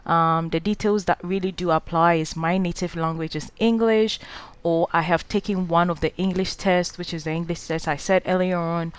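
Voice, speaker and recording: Young Ghanaian-raised woman, lowish smooth voice, hesitant tutorial delivery. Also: steady tone, moderate pace, no room sound. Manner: hesitant, many "um" and "uh" fillers, advising directly. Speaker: scholarship guide host. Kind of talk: screen-recorded walkthrough tutorial.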